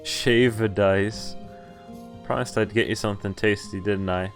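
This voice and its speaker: Low male voice, casual game streamer, reading story text aloud, offhand asides, music behind. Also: steady tone, unhurried. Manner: stumbles over words while reading, breaks off to comment. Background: game music and sound effects.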